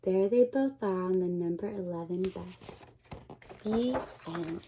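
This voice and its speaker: A woman teacher reading a picture book aloud to young children, expressive and dramatic, pausing to put questions to her listeners. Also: lowish voice, noisy background. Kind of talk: animated children's read-aloud.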